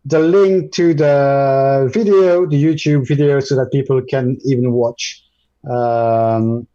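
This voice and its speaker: Male presenter, French native speaker, mellow tone, stop-start delivery, muffled call audio. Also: mid-range voice, fairly even intonation, slow. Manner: hesitant, many ums and restarts. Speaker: guest presenter. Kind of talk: live screen-share tutorial.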